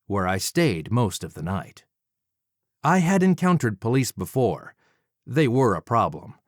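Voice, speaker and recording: Measured male audiobook narrator, very dry sound. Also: fairly low pitch, no echo. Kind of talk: scripted first-person narration.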